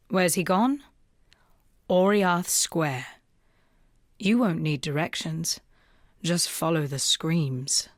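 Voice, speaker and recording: An actress voicing a weary, earnest young rebel woman, a wounded freed slave, in measured, solemn video game dialogue. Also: on the low side, dry recording, clean studio sound. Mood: grave, foreboding.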